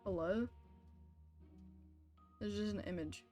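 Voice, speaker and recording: A woman's voice: medium pitch, steady tone, slow, mellow. A woman game streamer chatting casually on a livestream, talking to herself in short blunt questions and reactions. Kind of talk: casual livestream chat over gameplay. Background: game music.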